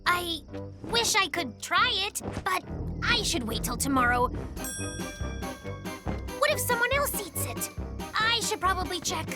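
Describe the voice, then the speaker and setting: A performer voicing an excitable, boastful little brown bear cub in a children's cartoon. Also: very high-pitched, takes it slowly, pauses often, heavily acted. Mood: tempted, sneaky. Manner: exclamatory, thinking out loud, enthusiastic. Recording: music behind the voice. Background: children's cartoon music.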